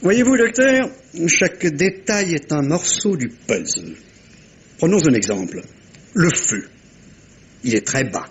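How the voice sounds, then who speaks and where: An actor playing a self-assured, politely probing little Belgian detective in a theatrical French radio mystery. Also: male voice, fairly high pitch, sing-song, slow pace, long pauses, slightly rough, full character voice. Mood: reasoning, emphatic.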